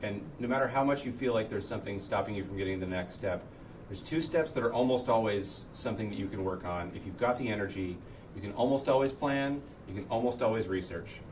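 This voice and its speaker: A man's voice: on the low side, quick pace, a little mellow, a little hiss or hum. A man on a livestream panel giving casual, encouraging advice, picked up from a distance. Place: indoor livestream studio. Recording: distant from the microphone. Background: music in the background.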